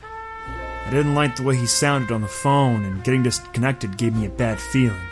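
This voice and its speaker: An actor playing a hard-boiled, gruff but protective private eye in a noir audio drama. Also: male voice, mid-range voice, sing-song, normal speed, natural voice. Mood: brooding, somber. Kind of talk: noir radio drama dialogue and narration. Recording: music under the voice.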